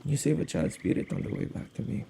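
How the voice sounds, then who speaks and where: A man acting an anxious, protective witch who guards spirits, in an intimate, expressive ASMR audio roleplay with a halting conversational delivery. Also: lightly acted. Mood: thoughtful. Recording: faint background noise.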